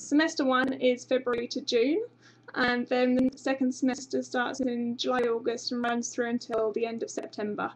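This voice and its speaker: A woman's voice: on the high side, vocal fry, slight background noise, low-quality recording. A young woman from England with an English accent slightly tinged Australian, chatty and informative, presenting a university webinar from a small office on a webcam. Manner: chatty and informative, frequent ums. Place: small office with banner behind. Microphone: webcam at desk.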